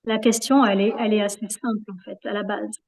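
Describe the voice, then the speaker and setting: A woman's voice: medium pitch, flat delivery, quick pace, darkish tone. A woman being interviewed in a reflective, conversational way, hesitating often as she searches for her words. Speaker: interview guest, yoga practitioner.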